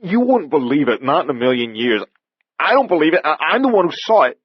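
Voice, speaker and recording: A man's voice: a little high, very animated, measured pace, husky, dry recording, voice pushed up, heavily acted. A man voicing a frightened young repairman in his twenties who talks shakily and stammers, on a slightly dull recording. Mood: scared. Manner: shaky, stammering.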